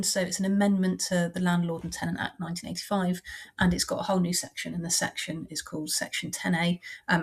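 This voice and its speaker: A woman with a heavy cold and a croaky voice, explaining housing law in a measured, practical way as a surveyor. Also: on the low side, darkish tone. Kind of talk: informal explainer talk.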